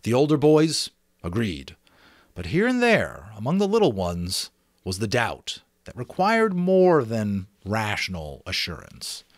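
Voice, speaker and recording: A man's voice: lowish voice, huge pitch swings, measured pace, no room sound, studio quality. A man narrating a novel expressively as an amateur reader, with dramatic storytelling and occasional casual asides.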